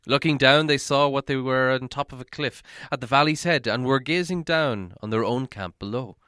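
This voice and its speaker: A man's voice: mid-range voice, normal speed, pushed and bright, smooth, no room sound, studio quality. A man narrating a fantasy novel aloud as an audiobook reader. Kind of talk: storybook narration, read aloud.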